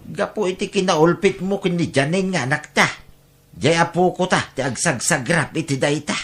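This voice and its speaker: A man's voice: fairly high pitch, normal speed, a bit bright. A man playing a caring but reproachful grandfather and husband in a melodramatic audio drama, speaking plaintively. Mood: sorrowful reproach.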